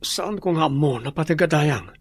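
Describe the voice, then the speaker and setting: A man's voice: on the high side, animated, moderate pace, slightly rough, dry recording. Meek, hesitant Ilocano man. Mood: worried. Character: meek rescued captive man, deferential and worried. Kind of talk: Filipino radio drama acting.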